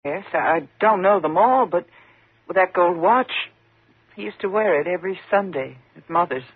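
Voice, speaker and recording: An actress playing an agitated, pleading, frightened woman in a 1950s radio thriller, speaking haltingly. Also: lowish voice, expressive, breathy edge, no room sound, full character voice. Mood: subdued, shaken. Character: frightened, insistent woman haunted by a convict. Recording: muffled, lo-fi 1950s radio recording.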